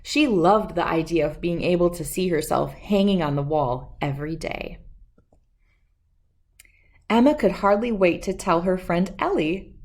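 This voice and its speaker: Lady reading a picture book to kids, expressive, talks to you like friends, pitch goes up and down a lot. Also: a little slow. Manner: expressive, addressing listeners as friends. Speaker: storybook reader and host. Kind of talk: read-aloud storytelling for children.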